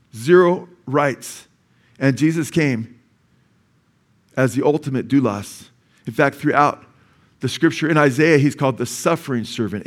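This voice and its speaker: A man's voice: mid-range voice, fairly bright tone. A male pastor with a Californian accent preaching in a church sanctuary. He explains word meanings patiently, then rises to emphatic peaks. He keeps asking the congregation for an amen. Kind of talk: sermon.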